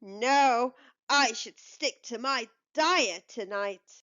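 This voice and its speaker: A woman's voice: a little high, drawn-out words, fairly bright tone, dull audio. A woman voicing a cautious, worried male beaver friend in a children's storybook, earnest and questioning. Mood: firm.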